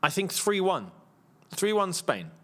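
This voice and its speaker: A man in his thirties hosting a live football watchalong, sounding worn out from long days. He talks in a loose, rambling way, pitched a touch high for a man, and breaks into quick laughs as he reads viewer messages aloud. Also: sing-song, fairly bright tone. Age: 30s. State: tired. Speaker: football channel host. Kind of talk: casual live watchalong chat.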